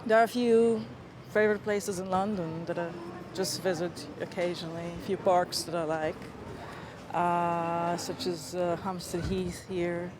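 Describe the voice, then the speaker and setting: Hesitant woman outdoors with clear noise. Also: fairly low pitch, little pitch movement, unhurried. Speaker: passer-by interviewee. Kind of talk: casual chat. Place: outdoors in a London park.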